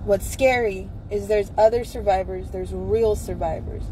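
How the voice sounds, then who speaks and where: A woman in her thirties talks straight to her followers on a live video, earnest and insistent, driving points home by saying them again. Her pitch sits in an ordinary middle range. Cars and buses rumble clearly behind her. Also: steady tone, a little slow, poor audio. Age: 30s. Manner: earnest, emphatic, repeats points for stress. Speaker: advocate speaking to followers. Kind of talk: casual live-stream monologue. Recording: live video with loud traffic noise behind.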